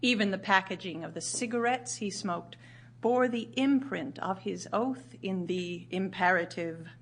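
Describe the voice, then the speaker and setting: An actress playing a grieving widowed writer, narrating in a measured, solemn, literary way for audio theatre. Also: female voice, mid-range voice, a little hiss or hum, lightly acted. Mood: grave. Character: grieving widowed woman writer recounting her husband's last year.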